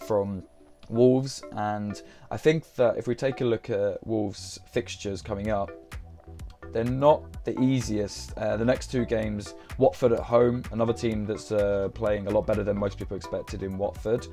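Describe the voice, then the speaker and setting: A young man, chatting casually on a live stream, slightly croaky from a sore throat, hesitating often with ums and uhs. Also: on the low side, steady tone, measured pace, dark tone, soft background music. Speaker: fantasy football streamer.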